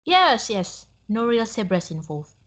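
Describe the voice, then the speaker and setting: A helpful British woman gives directions, played by a young female student in a classroom role-play. Her voice sits fairly high. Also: big pitch swings, a little slow. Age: young adult.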